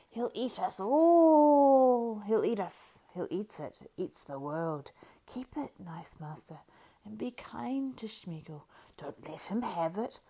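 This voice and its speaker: A woman voicing a wretched, cringing male creature who wails and pleads in a shrill, squeaky whisper. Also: dark tone, heavily acted. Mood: wailing, then pleading. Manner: wailing, pleading, singsong.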